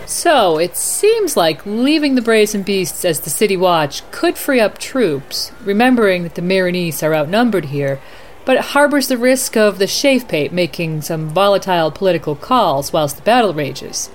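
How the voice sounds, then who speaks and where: A woman acts as a composed female anchor for a fantasy war bulletin in a radio play. She asks formal, probing questions with lively, swinging intonation. Faint noise sits under the voice. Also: medium pitch, moderate pace, close to an everyday voice. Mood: businesslike. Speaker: host, news anchor. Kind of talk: radio news broadcast roleplay.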